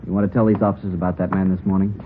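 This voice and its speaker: An actor playing a brisk, plain-spoken druggist, sure of himself and suspicious, in a 1950s radio drama. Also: male voice, level tone, slight background noise, lightly acted. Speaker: actor in supporting part. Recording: tinny, rumbly vintage radio recording.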